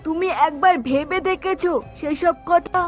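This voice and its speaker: A woman's voice: very high-pitched, fairly even intonation, measured pace. A woman voicing an exasperated middle-aged mother in a cartoon, complaining and scolding with emphasis. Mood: indignant. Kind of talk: Bengali cartoon voice acting. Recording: music behind the voice.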